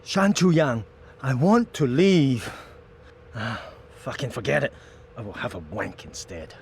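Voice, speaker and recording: A man's voice: medium pitch, expressive, unhurried, gravelly, darkish tone, slight background noise. A man playing a reluctant, anxious gangster underling in a video game cutscene, plaintive, hesitant and blunt. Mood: fed up.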